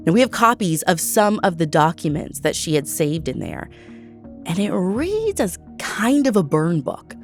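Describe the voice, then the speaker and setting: Low-pitched American woman narrating true crime. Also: big pitch swings, a little slow, soft background music. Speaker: podcast host narrator.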